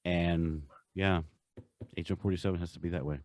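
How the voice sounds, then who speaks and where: A man co-hosting a podcast in casual, enthusiastic chat, with a halting way of talking. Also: deep voice, fairly even intonation, darkish tone, no echo. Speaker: podcast co-host. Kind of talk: casual chat, countdown commentary.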